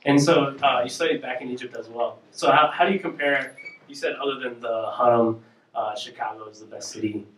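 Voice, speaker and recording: A man hosts a campus Q&A, asking questions in a halting way full of "uh" and "um". His voice sits at a middle pitch. He sounds distant from the mic in a roomy, echoing hall. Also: fairly bright tone, slight background noise. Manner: halting, many "uh" and "um". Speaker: event host and interviewer. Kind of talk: hosting a campus Q&A.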